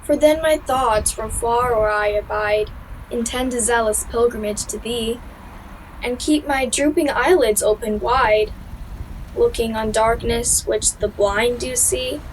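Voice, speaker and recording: A woman's voice: fairly high pitch, a little hiss or hum, natural voice, poor audio. A young woman performing a verse monologue as a weary, sleepless lover. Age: young adult. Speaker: student drama performer. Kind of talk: dramatic monologue reading of verse.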